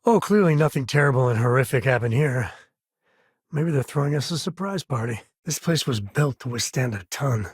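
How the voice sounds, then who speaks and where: An actor playing a rugged, warm-hearted frontier ranger and single father in a video game, with a folksy, laid-back drawl and dry wit. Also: mid-range voice, animated, normal speed, breathy edge, no room sound. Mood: wry. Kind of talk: video game voice acting, companion dialogue.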